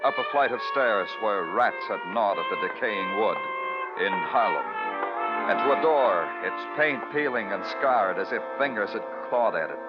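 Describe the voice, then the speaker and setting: Actor as a brooding hard-boiled detective, old radio. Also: male voice, fairly low pitch, expressive, normal speed, natural voice. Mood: brooding, sardonic. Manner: terse, brooding, sardonic. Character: world-weary, hard-boiled male police detective narrator. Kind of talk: 1940s radio noir narration and acting. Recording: dull vintage radio recording. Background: dramatic music.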